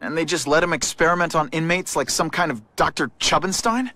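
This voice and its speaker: An actor playing a wisecracking, indignant young superhero with a sarcastic, quippy way of talking in a video game. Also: male voice, a little high, brisk, pushed and bright, no room sound. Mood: sardonic, firm.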